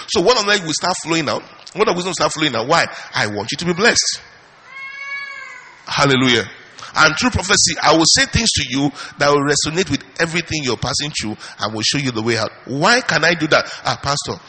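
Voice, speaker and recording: Animated preaching man, bright husky voice. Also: on the high side. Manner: animated preaching, rhetorical questions, frequent hallelujahs. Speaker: pastor. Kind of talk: charismatic sermon.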